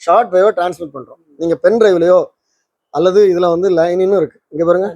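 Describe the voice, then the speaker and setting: Guy hosting a radio fixing vlog, quick talker, mellow tone, dry sound. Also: sits high, fairly even intonation, dry recording. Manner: checks understanding with frequent tag questions. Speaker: host of a vintage radio repair channel. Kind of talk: casual explaining and interviewing.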